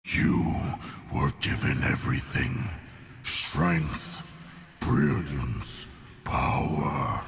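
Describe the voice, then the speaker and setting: A menacing sea-creature villain from a video game, extremely deep and full of gravel. He declaims with regal scorn, solemn and accusing. A long, cavernous reverb trails the voice. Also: very animated, fairly bright tone, exaggerated voice. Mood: scornful, menacing. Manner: slow, solemn, accusatory oratory. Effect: deep reverberant voice effect.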